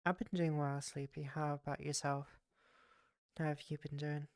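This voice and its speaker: Stuffy-voiced man streaming, flat and gravelly. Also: a little high, moderate pace, no echo, clean studio sound. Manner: rambling, thanks viewers, muses aloud. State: stuffy with a cold. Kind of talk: casual streaming chat while exploring a game world.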